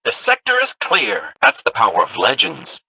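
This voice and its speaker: An actor playing a chirpy, nerdy robot companion with an excitable, swooping delivery. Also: male voice, a little high, moderate pace, creaky, breathy edge, heavily acted. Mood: excited.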